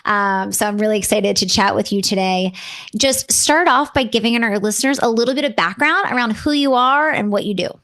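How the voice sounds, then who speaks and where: Woman, podcast host, chatty, upbeat, mid-pitched voice. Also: fairly quick, a bit bright. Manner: upbeat, chatty, uses many fillers like um and you know. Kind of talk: casual interview hosting.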